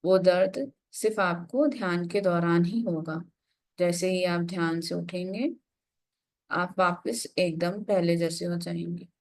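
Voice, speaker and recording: Low-pitched woman guiding meditation. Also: fairly even intonation, darkish tone. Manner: unhurried, instructive, with frequent pauses. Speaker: meditation guide. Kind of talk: guided meditation instruction.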